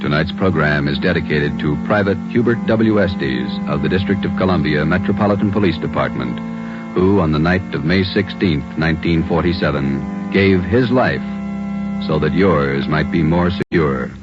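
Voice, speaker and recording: A man's voice: a bass voice, moderate pace. A man with an American accent announcing a 1940s radio program in a formal, declamatory scripted style. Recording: muffled vintage radio recording, loud music.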